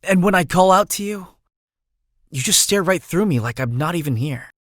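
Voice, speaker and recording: A young man voicing a gentle, sentimental exiled prince in a video game, speaking in a heartfelt, boyish, self-conscious way. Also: high-pitched, animated, normal speed. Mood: tender, earnest. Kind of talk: video game character acting.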